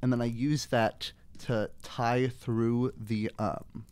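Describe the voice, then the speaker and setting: A man talks through his moves as a player in a tabletop game. He is casual and halting, working it out aloud, with an average male pitch. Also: flat delivery, darkish tone, clean tone, dry recording. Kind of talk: casual improvised description of actions.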